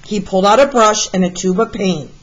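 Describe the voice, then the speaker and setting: A woman reading a children's picture book aloud as a storyteller, with deliberate, expressive delivery. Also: mid-range voice, poor audio. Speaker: read-aloud storyteller, art teacher.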